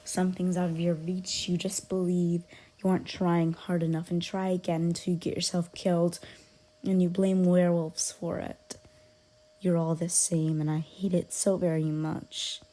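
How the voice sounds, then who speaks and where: A woman playing an aloof, grudgingly caring young werewolf in an ASMR roleplay, soft and breathy with whispered dips and blunt, guarded, halting delivery. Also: on the low side, little pitch movement, close to an everyday voice. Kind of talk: ASMR roleplay, intimate one-sided character dialogue.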